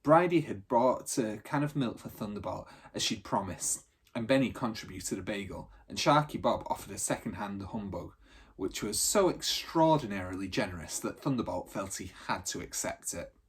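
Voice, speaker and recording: A man reading a children's adventure book aloud as a storyteller, in animated narration. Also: lowish voice, normal speed, husky.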